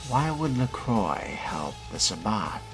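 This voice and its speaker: A man playing a newly turned vampire in a video game role-play, guarded and terse, with a measured, questioning manner. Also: fairly low pitch, sing-song, music in the background. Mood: guarded, curious.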